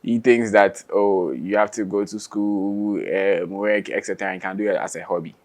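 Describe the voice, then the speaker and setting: A man's voice: lowish voice, fairly even intonation, normal speed. A young man, a singer and guitarist, chatting casually as an interview guest, laughing often and restarting his sentences.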